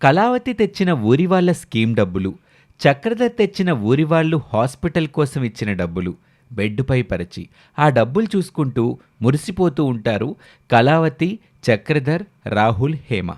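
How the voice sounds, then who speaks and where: A man's voice: mid-range voice, sing-song, normal speed, a little mellow. A man narrating a scripted story as the storyteller.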